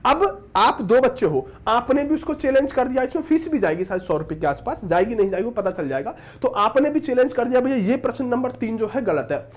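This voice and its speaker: A man's voice: extremely high pitch, expressive, fairly quick, few pauses. A man giving an informal exam update as a teacher, reading out a notice and often addressing viewers as friends. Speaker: exam-prep teacher and presenter.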